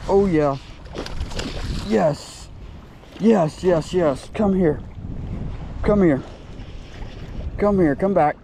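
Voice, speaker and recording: An excitable young man narrates his own fishing trip beside a lake, chattering to the fish as he fights them. His voice is mellow and warm, caught on a chest-mounted camera outdoors with a faint hiss of wind and ambience behind him. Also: sits high, extremely slow, vocal fry, pauses often. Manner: excitable, talks to the fish while reeling. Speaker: fishing vlogger. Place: outdoors by a lakeside. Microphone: chest-mounted action camera.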